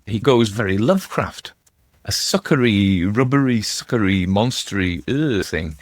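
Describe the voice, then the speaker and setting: A man's voice: fairly low pitch, dry recording. A man giving rambling, informal literary commentary as a host, musing and breaking off his own sentences, with wry asides. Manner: musing, self-interrupting, conversational. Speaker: host and narrator of ghost story readings.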